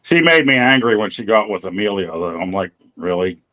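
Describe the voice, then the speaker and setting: A man in his fifties with a fairly low voice chats casually on a gaming podcast. He jokes at his own expense. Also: sing-song, brisk, bright, forceful tone. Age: 50s. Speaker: podcast co-host. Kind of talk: casual chat.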